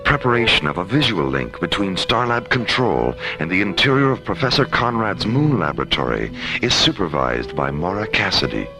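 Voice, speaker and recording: A man narrating a science-fiction radio drama in a deliberate, portentous scripted style. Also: low-pitched, big pitch swings, rough recording. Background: dramatic music.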